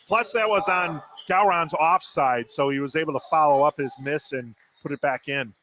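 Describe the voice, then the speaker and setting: A man's voice: fairly high pitch, moderate pace, sharp tone. A man giving casual color analysis as second commentator on a live basketball broadcast. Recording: noisy live gym broadcast.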